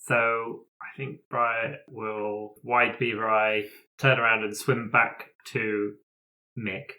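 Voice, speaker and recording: A man playing a tabletop roleplaying game, describing character actions in a jokey, halting, improvised way. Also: lowish voice, level tone, bright, forceful tone. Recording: echoey room.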